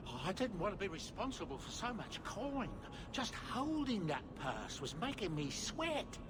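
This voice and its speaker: A man's voice: sits high, sing-song, normal speed, background noise. An actor playing a middle-aged male dwarf merchant in a video game, deferential and chatty, with formal politeness. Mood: fussy, obliging. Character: short bearded male dwarf merchant, deferential, fussy, grateful. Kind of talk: video game character acting.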